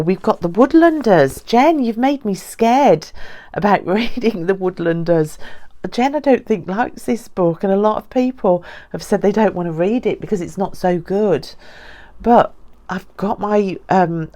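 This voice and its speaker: A woman's voice: lowish voice, a little mellow. A woman talking casually and enthusiastically to viewers as a vlogger, gushing over the things she shows. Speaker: book vlogger. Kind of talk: casual book haul chat to viewers.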